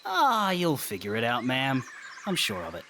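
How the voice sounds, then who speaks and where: A man's voice: mid-range voice, huge pitch swings, normal speed, pushed and bright, a little hiss or hum, heavily acted. A man playing a friendly, folksy angelic attendant in an audio drama, casual and apologetic in manner. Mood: easygoing.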